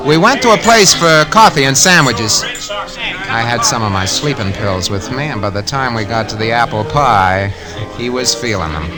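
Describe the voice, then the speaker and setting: Guy doing a slick cold-blooded crook from 40s radio, slangy, pitch all over, loud music behind. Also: lively intonation, moderate pace, natural voice, rough recording. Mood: cold, scheming. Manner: slangy tough-guy talk, clipped short sentences. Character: cynical scheming male swindler, cool, coldly murderous narrator. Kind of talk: 1940s radio crime drama acting.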